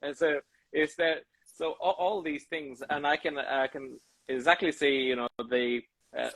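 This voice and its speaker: Halting, effusive podcast host man. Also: on the high side, fairly even intonation, a bit bright, no echo, rough recording. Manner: halting, many restarts and fillers; effusive, keeps thanking the guest. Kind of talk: casual interview chat.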